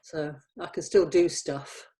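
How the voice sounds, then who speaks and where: A woman's voice: low-pitched, fairly even intonation, slow, warm tone. A woman talking informally and a little hesitantly as an organiser in a production meeting. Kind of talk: casual production meeting talk.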